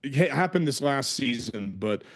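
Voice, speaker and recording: A man hosting a casual podcast, excitable and quick to laugh, often stumbling over his words. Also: medium pitch. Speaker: podcast host. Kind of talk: casual sports podcast chat.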